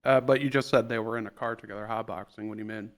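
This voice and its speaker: A man playing a trial lawyer in improvised roleplay, questioning in a halting, repetitive, insistent way. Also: on the low side, steady tone, natural voice. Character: pushy, rambling male trial lawyer.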